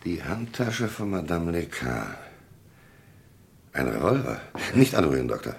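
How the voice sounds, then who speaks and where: A gruff old-school radio police commissioner, super low and gravelly, pushy questions, swingy intonation. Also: male voice, sing-song, measured pace, heavily acted. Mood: probing. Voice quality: gravelly, husky. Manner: insistent, interrogating, commanding. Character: gruff, probing French police commissioner in a murder case. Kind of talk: German radio crime drama acting.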